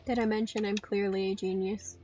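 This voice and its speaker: A woman giving casual solo commentary on a game stream in a playful, dryly self-deprecating, halting way. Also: mid-range voice, flat delivery, mellow, soft background music. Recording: dull lo-fi mic with faint noise and clicks.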